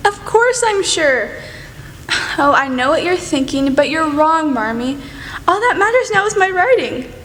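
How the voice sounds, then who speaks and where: A woman's voice: high-pitched, sing-song, unhurried, a bit bright, full character voice. A young woman acting in a student radio play as an earnest, spirited young writer. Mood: bright, insistent. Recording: noisy hall with long reverb.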